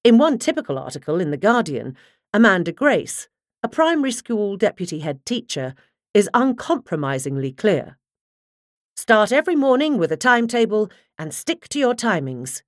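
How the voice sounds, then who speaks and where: A woman reading a nonfiction audiobook in a measured, articulate way, close to the microphone. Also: medium pitch, sing-song, dry recording. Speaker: audiobook narrator. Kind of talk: scripted nonfiction audiobook narration.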